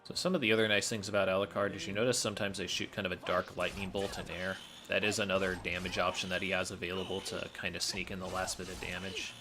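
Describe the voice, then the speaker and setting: A man's voice: low voice, little pitch movement, fast talker, hardly any pauses, noisy background. A man giving explanatory commentary as he plays through a video game speedrun.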